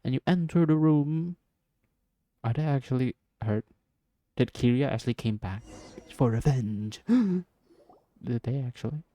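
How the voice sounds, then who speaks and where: Male game streamer, relaxed dark tone, unhurried, repetitive casual reactions, close dry mic. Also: mid-range voice, dry recording. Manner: murmured exclamations, repeats words. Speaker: gameplay streamer. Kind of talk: casual live reaction commentary.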